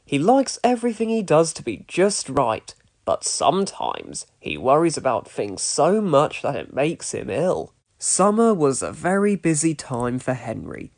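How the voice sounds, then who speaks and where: A man giving an expressive, scripted narration of a children's storybook read-along. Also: fairly high pitch, unhurried.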